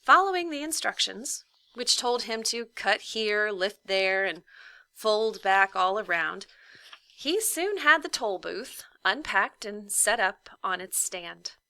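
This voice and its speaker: A woman reading a children's story aloud like a teacher, with deliberate, emphatic phrasing and dramatic hushes. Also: medium pitch, animated, no echo.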